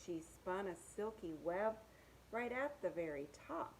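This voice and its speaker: A woman reads picture books aloud for young children at a slow, unhurried pace. Her pitch sits in the middle for a woman, and she delivers rhymes in a sing-song way with simple asides to the listener. There is some background noise in the recording. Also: expressive, low-quality recording.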